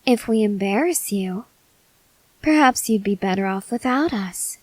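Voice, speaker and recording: Woman acting as possessive female vampire, calm, seductive, unhurried delivery, softly stern, close dry sound, ASMR roleplay. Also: mid-range voice, dry recording. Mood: stern, jealous. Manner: slow, deliberate, softly stern, at times whispering.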